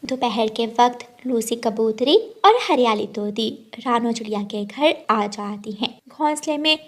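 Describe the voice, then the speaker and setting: A woman's voice: fairly high pitch, a little slow. A woman narrating a scripted children's story.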